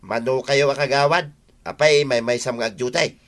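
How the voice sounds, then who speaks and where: Man playing sharp-voiced grumbling Ilocano villager. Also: medium pitch, fairly even intonation, normal speed, lightly acted. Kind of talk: comedic Filipino radio drama acting.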